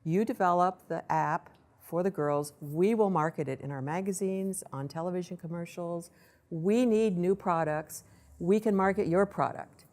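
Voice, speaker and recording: A woman presenting a startup case study to an audience in a lively storytelling way, acting out what people said. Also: lowish voice, moderate pace. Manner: lively storytelling, acts out quoted voices. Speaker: accelerator program director giving a presentation.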